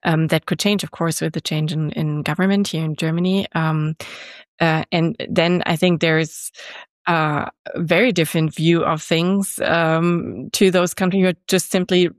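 A woman giving conversational political analysis on a panel, hesitant and pausing as she works through her points. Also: low voice, fairly even intonation, no room sound, studio quality. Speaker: panelist based in Germany.

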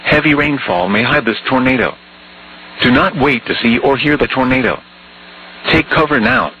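A man's voice reading an automated weather emergency alert in a flat, even, computer-like delivery. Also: medium pitch, moderate pace. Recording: dull lo-fi broadcast with faint hum.

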